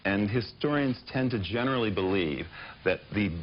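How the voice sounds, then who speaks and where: A man's voice: lowish voice, lively intonation, normal speed. A man from Pittsburgh, an author answering interview questions in a thoughtful, hesitant way with many fillers. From Pittsburgh, United States. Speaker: author and guest. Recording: muffled broadcast audio with faint hiss.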